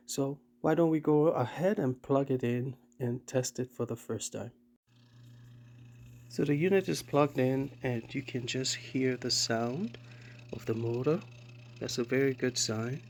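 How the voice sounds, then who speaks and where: A man's voice: medium pitch, a little hiss or hum. A man casually narrating a hands-on demonstration and repair of vintage gear, thinking aloud as he explains. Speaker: vintage tech presenter.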